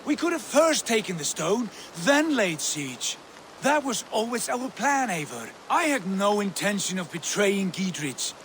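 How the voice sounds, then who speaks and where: An actor playing an arrogant, hot-tempered Viking lord in a video game, scolding and imperious. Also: male voice, high voice, big pitch swings, a little slow, a bit bright, a little hiss or hum, full character voice. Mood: imperious, angry. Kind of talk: video game cutscene acting.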